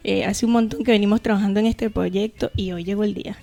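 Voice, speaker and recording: A woman's voice: mid-range voice, steady tone, fast, creaky, mellow. A woman with a Venezuelan Caribbean accent, co-hosting a conversational podcast. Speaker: podcast co-host. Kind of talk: casual podcast chat with scripted intro.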